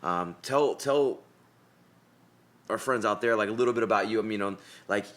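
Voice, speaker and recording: A man's voice: on the low side, normal speed, a bit bright. A man hosting a casual podcast, joking loosely with his guest. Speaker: podcast host.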